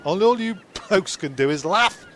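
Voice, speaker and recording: A man's voice: high-pitched, lively intonation, normal speed, fairly bright tone, slight background noise. Grumpy, foul-mouthed, vain castaway man. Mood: aggrieved ranting. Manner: ranting, sweary, stumbling over lines. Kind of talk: comedy audio drama with ad-libs.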